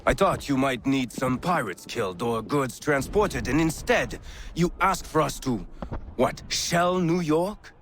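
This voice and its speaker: An actor playing a wry, skeptical French naval commander with a French accent and theatrical delivery in a game cutscene. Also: male voice, mid-range voice, expressive, unhurried, fairly bright tone, a little hiss or hum. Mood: wry disbelief. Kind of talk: video game cutscene acting.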